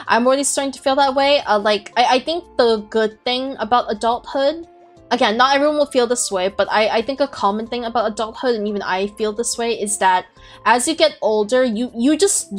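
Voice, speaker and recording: A woman in her twenties hosting a podcast, talking in a rambling, reflective way with many fillers and restarts. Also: fairly high pitch. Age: 20s. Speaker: podcast host. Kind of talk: casual chat on a group call.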